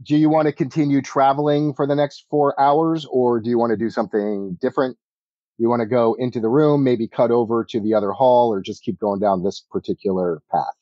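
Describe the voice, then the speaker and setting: A man running a tabletop game as game master, narrating casually in a halting, think-as-he-goes way. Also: medium pitch, steady tone, warm tone, no echo. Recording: dull lo-fi audio, music underneath. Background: background music.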